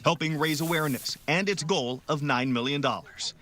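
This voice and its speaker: Crisp young male TV news reporter. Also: fairly high pitch, sharp tone, slight background noise. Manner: crisp, measured newscast delivery.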